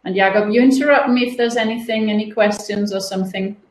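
A woman's voice: medium pitch, quick pace. Woman teaching in a roomy echo. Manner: relaxed, explanatory, uses playful comparisons. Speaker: webinar presenter. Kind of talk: online teaching demo. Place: home room, indoors. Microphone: laptop webcam video call.

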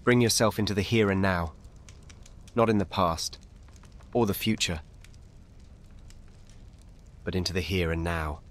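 A man with a fairly low voice guides a meditation. He speaks gently and without hurry, in short measured phrases. Long pauses sit between his instructions. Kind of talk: slow guided meditation narration.

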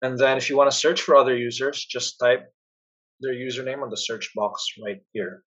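A man presents a step-by-step software walkthrough in a plain, instructional way. His voice is a little husky and sits at a medium pitch. The recording is somewhat dull and muffled. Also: fairly even intonation, moderate pace. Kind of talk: step-by-step screencast narration.

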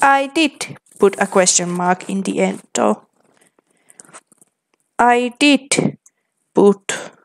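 Slow-speaking young woman. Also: mid-range voice. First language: Finnish. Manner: slow, deliberate, reading typed replies aloud. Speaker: vlogger. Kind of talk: casual commentary reading chat aloud.

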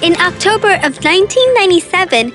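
A woman's voice: very high voice, very animated, brisk, music in the background. A woman voicing a cheerful, curious and kind young girl in a children's cartoon. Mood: bright.

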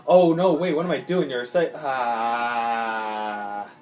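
A man's voice: mid-range voice, steady tone, takes it slowly. Man muttering through a video game. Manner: thinks aloud, swears, frequent ums and uhs. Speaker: gaming YouTuber. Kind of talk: casual gameplay commentary. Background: faint game music.

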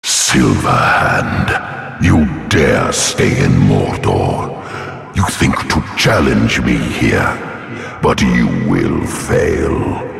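An actor playing a menacing, wrathful immortal dark lord in a fantasy game, with a gravelly, booming voice, imperious threatening delivery and a monstrous reverberant effect. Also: male voice, sing-song, faint music behind, full character voice. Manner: slow, imperious, threatening declamation. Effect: deep monstrous reverberant voice processing. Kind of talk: theatrical fantasy game villain acting. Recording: long cavernous reverb, echoing.

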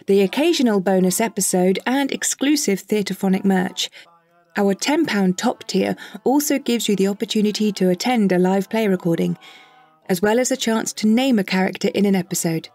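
A woman reads a scripted podcast announcement. Her pitch is medium, and there is faint music behind her. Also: normal speed, darkish tone, no room sound. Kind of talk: scripted promotional narration.

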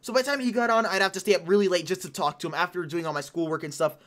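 A woman in her late teens hosting casual gameplay commentary, chatty and excitable, rambling between topics, her voice a little hoarse. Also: on the low side, very fast. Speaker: gaming channel host.

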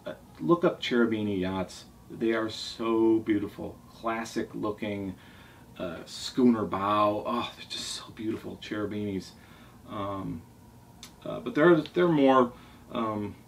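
A man in his forties talking casually and enthusiastically in a rambling way, as a vlog host going through favourites at home. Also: mid-range voice. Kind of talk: casual talk reviewing favourite books. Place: small furnished room indoors at a table. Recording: distant mic, noisy room ambience.

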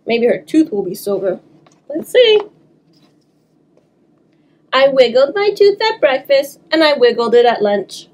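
Slow, high-voiced woman reading a children's story. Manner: animated, talks to young listeners, draws words out. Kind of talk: expressive children's story time read-aloud. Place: small indoor room.

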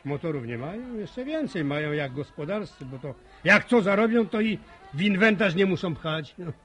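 A man's voice: sits high, expressive, normal speed, a bit bright, soft background music. An actor playing a blunt, jovial village man of some authority in a radio serial, with emphatic, folksy speech. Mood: wry. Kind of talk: Polish radio serial acting.

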